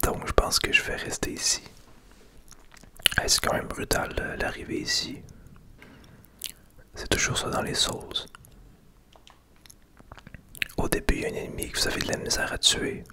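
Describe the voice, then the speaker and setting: A man with a Québécois French accent whispering casual, unhurried ASMR commentary as he plays a video game. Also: very high voice, wildly swooping pitch. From Quebec. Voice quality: breathy, unvoiced whisper. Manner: unhurried, hushed, casual narration of play.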